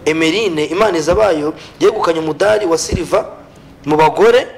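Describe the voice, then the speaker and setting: Very quick-talking young Rwandan man. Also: a little high, creaky, a little hiss or hum. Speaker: sports show presenter. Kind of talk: sports talk and news round-up. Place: small TV studio, indoors.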